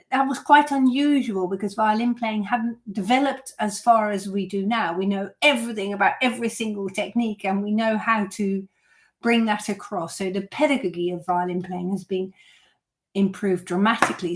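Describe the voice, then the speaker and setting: A woman from the Netherlands, a violin teacher, chatting through viewer questions on a live stream, with many fillers and restarts. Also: mid-range voice.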